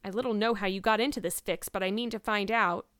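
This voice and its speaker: A woman voicing a stern, exasperated older guardian in a storybook, with a brisk, sarcastic, scolding manner. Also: medium pitch, steady tone, a bit bright, smooth, dry recording, clean studio sound, natural voice. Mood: stern, baffled.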